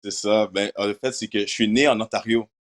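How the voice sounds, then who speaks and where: A man's voice: fairly low pitch, steady tone, brisk. A young man from Quebec whose first language is English, answering interview questions in casual French sprinkled with English words. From Quebec, Canada. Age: young adult. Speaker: university football player.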